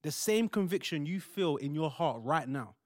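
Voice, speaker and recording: A man's voice: on the high side, expressive, moderate pace, no echo, studio quality, close to an everyday voice. A man playing a devout young husband-to-be in a modern audio drama, earnest and colloquial. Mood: earnest persuasion. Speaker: actor in audio play.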